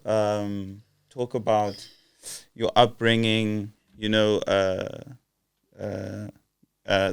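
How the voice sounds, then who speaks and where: Low-voiced young man hosting a podcast. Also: clear, resonant tone, dry recording, studio quality. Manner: hesitant, many fillers and restarts. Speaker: podcast host. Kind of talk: casual podcast interview.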